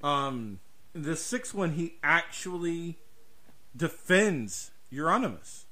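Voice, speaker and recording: A man's voice: fairly high pitch, lively intonation, takes it slowly, sharp tone. A man hosting a podcast, giving opinions in casual discussion, emphatic and given to swearing and repeating his points. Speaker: podcast host.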